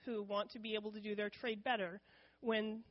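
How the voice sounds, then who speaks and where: A woman's voice: mid-range voice, flat delivery, normal speed, highs cut off. A woman giving an informal missions talk to her church in a candid, conversational way, with frequent ums. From United States.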